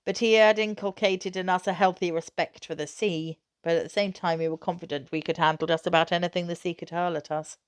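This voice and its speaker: A woman reading a children's novel aloud, narrating expressively with measured storytelling emphasis. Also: fairly low pitch, steady tone, smooth.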